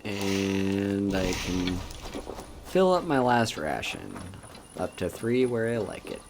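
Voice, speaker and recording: A man streaming a video game, chatting casually in a relaxed, soft-spoken and musing way as he plays. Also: fairly low pitch, slow, vocal fry, noisy background. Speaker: VTuber streamer. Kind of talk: casual gameplay commentary. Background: game music.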